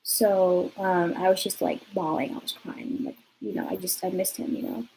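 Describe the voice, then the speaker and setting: A woman's voice: fairly low pitch, normal speed, creaky, a little mellow, slight background noise, low-quality recording. A young woman from California telling a rambling personal story in a casual vlog, full of fillers and swearing. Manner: chatty, lots of fillers and swearing. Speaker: vlogger.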